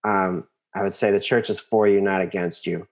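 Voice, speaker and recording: A man's voice: low-pitched, steady tone, normal speed. An American man in his forties speaking casually as an interview guest, thinking aloud and repeating phrases for emphasis.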